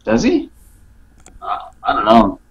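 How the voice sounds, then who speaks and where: A man's voice: medium pitch, huge pitch swings, slow pace, slightly rough, lo-fi sound. A man chatting casually about game mechanics as a podcast guest, tentative and unsure in his manner. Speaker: guest player on a gaming podcast. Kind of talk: casual chat, game analysis.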